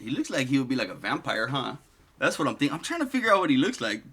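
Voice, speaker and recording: Male host, rambling swear-heavy commentary, bright voice, lively up-and-down delivery. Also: mid-range voice, rapid-fire, slightly rough. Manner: profane, digressive, laughs often.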